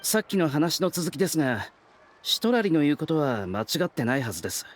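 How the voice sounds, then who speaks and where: Brisk, deadpan young warrior. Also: male voice, on the high side. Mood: matter-of-fact. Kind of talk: Japanese video game voice acting.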